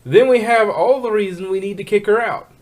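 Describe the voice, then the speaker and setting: A man's voice: sits high, fairly quick, voice pushed up, lightly acted. A man voicing a stubborn, irritable female farm pony, scolding with folksy rural phrasing in a fan story reading. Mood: argumentative.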